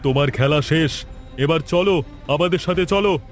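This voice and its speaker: A man's voice: on the high side, normal speed, soft background music, natural voice. A man voicing a stern, righteous police officer in a cartoon, speaking with accusing authority. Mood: pressing. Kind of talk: Bengali cartoon dialogue.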